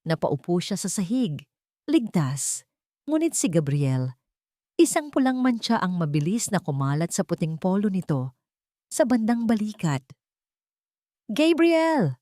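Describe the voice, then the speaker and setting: A Filipino woman with a fairly low voice narrates a romantic drama. Her delivery is emotive and deliberate, in the manner of scripted storytelling. The sound is dry and close, with little room in it. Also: sing-song, dark tone, no room sound.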